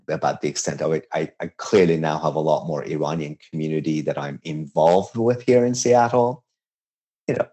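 A man's voice: rumbling low, a little mellow. A man in his fifties from Iran, a chef talking as an interview guest in a casual, reflective way, often restarting his sentences. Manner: reflective, halting, with frequent restarts and light laughs.

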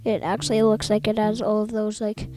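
A quiet-voiced young woman talking casually, with her mouth right up against the microphone so her breathing is audible. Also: medium pitch, monotone, a little fast, soft background music. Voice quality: quiet, breathy close-mic voice. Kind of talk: casual vlog monologue. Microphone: mic right up to mouth.

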